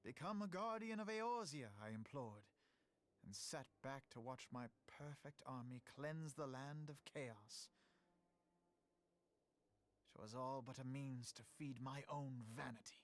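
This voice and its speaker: A man's voice: mid-range voice, takes it slowly, natural voice. An actor playing a once-proud teenage male elf noble in a fantasy game, with formal archaic diction and bitter self-reproach. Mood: bitter remorse. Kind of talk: dramatic fantasy game voice acting.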